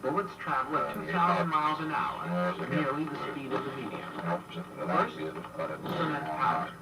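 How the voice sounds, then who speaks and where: A man's voice: a little high, gravelly. A man speaking in a recording played back from an old reel-to-reel tape of broadcast and radio speech. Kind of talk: documentary and radio transmission speech. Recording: noisy distant old reel-to-reel tape playback.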